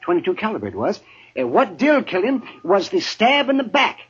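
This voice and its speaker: An actor playing a fussy, chatty Old West doctor with a raspy voice in a 1950s radio western. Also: male voice, high-pitched, very animated, brisk, a bit bright, heavily acted. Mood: fussy, matter-of-fact. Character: fussy, chatty frontier doctor, cheerfully morbid. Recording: muffled 1950s radio recording.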